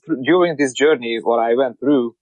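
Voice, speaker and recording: Hungarian-accented man, emphatic and halting. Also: mid-range voice, no echo, dull audio. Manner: broken English, frequent repeated words and restarts. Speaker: guest, car wrap shop owner. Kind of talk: casual interview.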